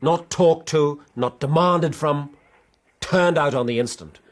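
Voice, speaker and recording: Earnest young English lord, higher-pitched. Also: male voice, slow pace. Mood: firm, resolute. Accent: upper-class English. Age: young adult. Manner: urgent, firm, articulate. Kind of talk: radio drama acting.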